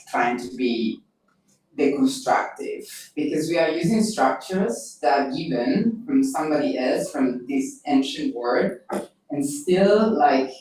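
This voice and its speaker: A woman's voice: rumbling low, darkish tone. A young woman thinking aloud in a group discussion, using "like" a lot. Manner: thoughtful, filler-heavy with "like". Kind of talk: discussion in a seminar. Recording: echoey room from far off with faint noise.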